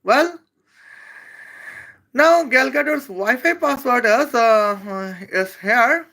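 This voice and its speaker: English, slow and halting roast talk, lots of uh's, bright sharp voice. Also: medium pitch, animated. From Bangladesh. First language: Bengali. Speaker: video creator. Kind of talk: rambling comedic roast commentary.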